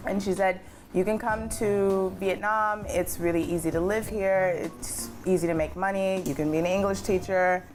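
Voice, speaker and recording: A woman's voice: mid-range voice, normal speed. A middle-aged woman from Hawaii, a comedian and guest, chatting casually and telling stories with lots of laughs. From Hawaii, United States. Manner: animated, laughs often, storytelling. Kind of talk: casual interview chat. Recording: music bed underneath.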